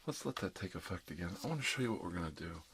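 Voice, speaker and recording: A man playing a calm, reassuring dentist in an ASMR roleplay, speaking softly and often slipping into whispers. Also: low-pitched, very fast. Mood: calm, explanatory. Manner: soft, often dropping into whispers, soothing. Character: calm reassuring dentist treating an undead patient. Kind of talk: ASMR roleplay, murmured one-sided dialogue. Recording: clear background noise, clicks.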